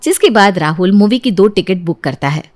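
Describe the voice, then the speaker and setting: A woman's voice: mid-range voice, lively intonation, normal speed, a little mellow. A woman reading a scripted family story as its narrator.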